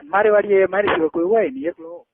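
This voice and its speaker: A man's voice: high-pitched, big pitch swings, fast, vocal fry, dry recording. A man in his twenties with a Gujarati accent in a casual private phone chat, speaking in an insistent, coaxing way. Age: 20s. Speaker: caller. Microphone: telephone line. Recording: tinny narrowband phone call recording.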